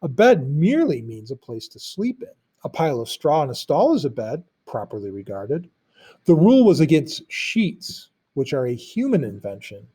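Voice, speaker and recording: Man reading as a smug, smooth-talking propagandist pig. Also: on the high side, wildly swooping pitch, normal speed, warm tone, heavily acted. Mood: smug, persuasive. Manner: oratorical, coaxing with rhetorical questions.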